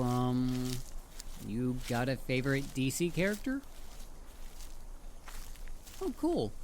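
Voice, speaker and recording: A man's voice: on the high side, a little mellow, slight background noise, exaggerated voice. A man voicing a chatty, mischievous talking head of a dullahan in a casual, rambling audio roleplay spoken straight to the listener. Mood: casual, curious. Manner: casual, rambling, hesitant with stammered repeats. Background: ambient forest sound effects.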